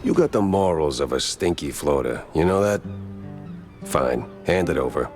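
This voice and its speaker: A man's voice: a bass voice, expressive, moderate pace, a little mellow, faint music behind. An actor playing a world-weary male mouse private eye in a cartoon noir game, with dry, sardonic delivery. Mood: sardonic, grudging. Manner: dry, sardonic, hard-boiled. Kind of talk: film noir narration and dialogue.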